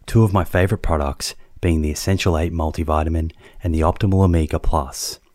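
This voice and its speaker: A man hosting his own podcast, reading sponsor spots smoothly and chatting with a guest in his studio, often repeating a word as he starts a sentence. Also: low voice, a little mellow, dry recording. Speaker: podcast host. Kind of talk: ad reads and interview chat.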